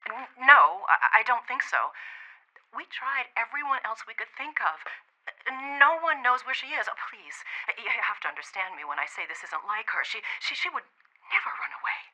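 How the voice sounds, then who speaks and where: A woman's voice: fairly high pitch, very animated, bright, forceful tone, heavily acted. An actress playing a frantic middle-aged American mother in an audio drama, stammering and pleading over an emergency phone call. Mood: defensive worry. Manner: halting, stammering, desperate pleading. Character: frantic, pleading mother of a missing teenage daughter. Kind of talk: immersive audio fiction drama acting.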